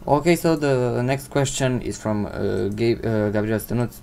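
A man's voice: medium pitch, moderate pace, gravelly, a little mellow, a little hiss or hum. Hesitant teenage Romanian boy vlogging. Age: teens. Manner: hesitant, many uh fillers, non-native English phrasing. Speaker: channel host answering viewer questions. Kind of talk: casual Q&A vlog. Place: indoors at home, seated in gaming chair. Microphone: desk microphone.

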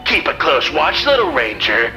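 Sharp, swooping outlaw jackal bandit. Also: male voice, a little high, big pitch swings, moderate pace, exaggerated voice, rough recording. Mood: sly menace. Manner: drawling western villain talk. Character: sly male outlaw jackal, menacing cowboy bandit. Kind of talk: cartoon game voice lines. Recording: game audio over background music.

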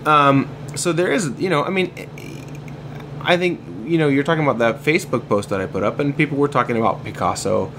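A man's voice: fairly high pitch, brisk, background noise. A man talking casually as a podcast guest, rambling with many false starts. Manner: rambling, hesitant, many restarts. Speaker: podcast guest, comics artist. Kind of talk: casual chat.

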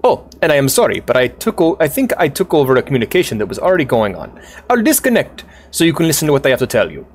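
A man doing an excitable high-voiced scientist friend. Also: quick pace. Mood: excited.

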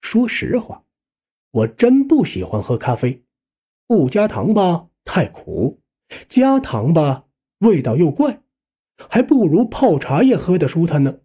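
A male audiobook narrator tells a suspense story in the first person. His delivery swings widely in pitch and takes its time. The tone is soft-edged and slightly husky, with a colloquial storyteller's phrasing. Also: sing-song, unhurried.

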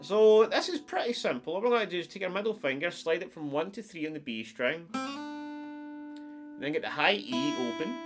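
A man in his forties, a little stuffed up, talks through a guitar lesson in an easy, friendly way, counting frets and strings as he goes. Loud acoustic guitar rings behind his voice. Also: on the high side, lively intonation, a little fast. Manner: friendly, explains step by step with counts. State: stuffed-up nose. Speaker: guitar teacher. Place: small wood-paneled room at home.

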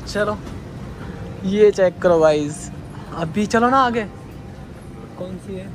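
A young man from India vlogging his trip through an airport onto a plane, chatty and excited, talking to his viewers as guys and mixing in English words. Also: high voice, takes it slowly, background noise. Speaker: travel vlogger. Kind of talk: excited handheld travel vlog. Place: airport terminal and plane cabin, indoors.

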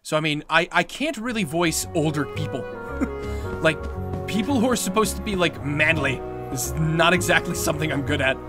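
A young man with a light tenor voice chatting casually to his live-stream viewers while playing, answering questions and reacting with excited outbursts. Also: on the high side, moderate pace. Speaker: let's play streamer. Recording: game soundtrack loud underneath. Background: loud game music.

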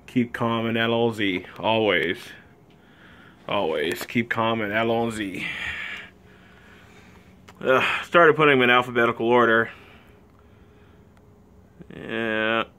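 A man giving a casual filmed tour of his collection, listing items slowly and offhandedly with many fillers, recorded on a handheld phone in a small wood-panelled room. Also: medium pitch, pushed and bright, smooth. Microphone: phone camera held while walking.

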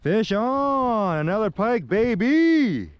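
A man's voice: sits high, sing-song, takes it slowly, lo-fi sound. A young man filming himself fishing from a small boat, hyped and whooping as he talks viewers through each catch. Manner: hyped, whooping, keeps calling out to the guys. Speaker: fishing vlogger. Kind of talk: excited fishing commentary to viewers. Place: small aluminum boat on a windy lake. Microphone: action camera on the boat. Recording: heavy wind noise on the mic. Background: wind and water.

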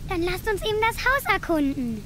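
A woman's voice: very high-pitched, sing-song, brisk, noisy background. A woman playing a timid yet curious little girl in a children's radio play.

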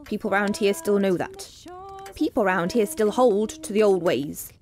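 A woman's voice: mid-range voice, moderate pace, darkish tone, close to an everyday voice. A woman playing a stern local believer in the old ways in a radio drama. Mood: stern warning.

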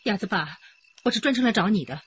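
A woman's voice: medium pitch, animated, fast, slight background noise, close to an everyday voice. An actress playing a remorseful, urgent village woman who pleads in a radio drama. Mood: businesslike. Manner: pleading, emotional. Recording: dull lo-fi radio play audio.

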